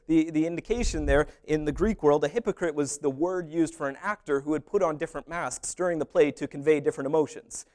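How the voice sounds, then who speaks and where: A man preaching a sermon as pastor, animated, with humorous asides and little acted-out dialogues. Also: a little high.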